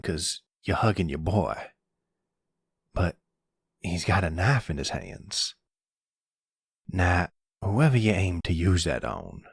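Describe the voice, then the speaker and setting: A man playing a protective, calm older mafia grandfather in an ASMR roleplay, speaking gently in a folksy way. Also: sits low, gravelly, husky, dry recording, clean studio sound, exaggerated voice. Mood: tense, calming. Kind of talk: ASMR boyfriend roleplay acting.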